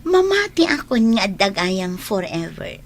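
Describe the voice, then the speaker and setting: A woman playing a trusting, stubbornly loyal young woman in love in a radio drama, expressive, with an Ilocano accent. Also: mid-range voice, measured pace. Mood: earnest, protesting. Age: young adult. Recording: noticeable background noise.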